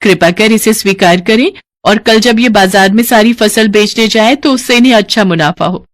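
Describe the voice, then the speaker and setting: A woman voicing an earnest, expressive and devout farmer's wife in a Hindi animated moral story. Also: mid-range voice, normal speed, no room sound, clean studio sound, lightly acted. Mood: devout, affectionate.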